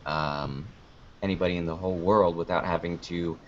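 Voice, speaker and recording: A man's voice: low-pitched, fairly even intonation, moderate pace, slight background noise. A man giving casual, rambling interview answers as a guest, informal and often interrupting himself mid-sentence. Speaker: interview guest, podcast creator. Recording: dull lo-fi online call audio.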